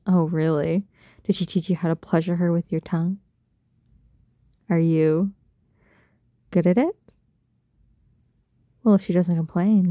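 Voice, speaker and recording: A woman speaking in an intimate adult roleplay style straight to the listener, soft, breathy and coaxing, full of doting pet names. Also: fairly low pitch, no room sound, studio quality. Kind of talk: intimate erotic roleplay audio, addressing the listener.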